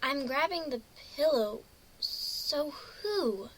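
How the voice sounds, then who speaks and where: Girl playing a dreamy confused unicorn pony in a story reading, high bright voice, talks slow. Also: animated, exaggerated voice, rough recording. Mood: drowsy, cozy. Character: naive, dazed female unicorn pony stowaway. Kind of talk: first-person dramatic story reading.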